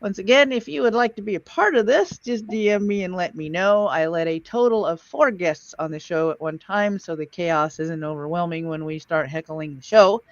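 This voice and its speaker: A man's voice: sits high, moderate pace, few pauses, no room sound, highs cut off. A man hosting a podcast in a casual, chatty, wisecracking style. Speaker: podcast host. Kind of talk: casual heckling and chat.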